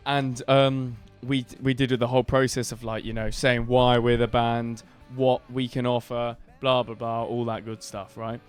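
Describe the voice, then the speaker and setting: A man with a booming voice, co-hosting a casual band podcast and telling a story in a rambling way with many fillers and restarts. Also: flat delivery, normal speed, hardly any pauses, clean tone, soft background music. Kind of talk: casual chat.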